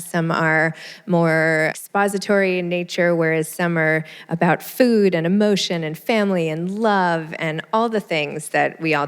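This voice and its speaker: A woman moderating a live book event, speaking in an earnest, conversational way with a slightly low voice. Her words ring out with long reverb from a large event hall. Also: clear, resonant tone. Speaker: host and moderator. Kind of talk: live panel event, reading an essay aloud.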